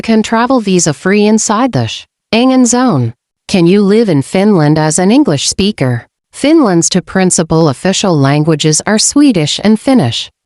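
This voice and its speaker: A woman hosting a channel, reading an informational script in a steady, measured way. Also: on the low side, no echo, clean studio sound. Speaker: channel host.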